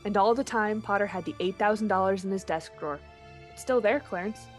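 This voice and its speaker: A woman's voice: medium pitch, a little fast, soft background music, close to an everyday voice. A woman playing a senior heavenly being explaining events in an old-time radio play.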